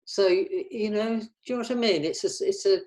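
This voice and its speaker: A woman giving casual feedback on a script, halting and repeating herself as she explains. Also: medium pitch, a little mellow.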